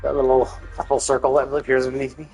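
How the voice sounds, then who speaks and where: A man's voice: medium pitch, completely flat delivery, quick pace, a little mellow, soft background music, low-quality recording. A man giving casual live game commentary, thinking aloud as he explains things, with some mild swearing. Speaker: game streamer.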